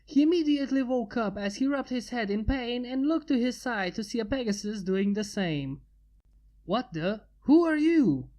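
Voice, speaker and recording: A woman reading fan fiction aloud in a steady narration. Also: on the high side, moderate pace, hardly any pauses. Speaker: fanfic reader. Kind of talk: amateur fanfic narration.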